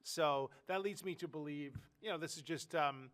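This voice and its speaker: A man with a higher-set voice talks sports in a loose, rambling way, full of "you know" and "uh" as he circles back on his points. A head cold leaves him sounding stuffed-up and nasal. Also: normal speed, fairly bright tone. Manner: rambling, lots of fillers and restarts. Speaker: sports podcast co-host. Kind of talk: casual sports talk.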